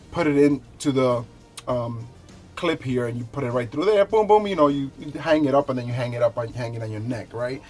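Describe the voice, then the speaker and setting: Higher-voiced man giving a casual review. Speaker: product reviewer, show host. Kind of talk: casual unboxing and review talk to viewers.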